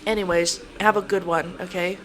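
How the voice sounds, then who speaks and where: A man's voice: sits high, little pitch movement, moderate pace, fairly bright tone, soft background music, low-quality recording. A man playing a pleading, mock-stern office boss in an improvised comedic roleplay, scolding with hesitations. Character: pleading, punning male boss scolding an employee.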